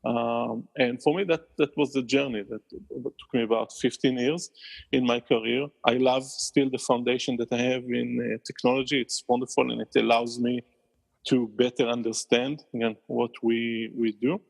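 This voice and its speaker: Man, Israeli accent, gravelly, middle pitch, podcast guest, halting answers. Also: flat delivery, poor audio. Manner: thoughtful, many restarts, laughs often. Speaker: guest, company founder. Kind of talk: podcast interview.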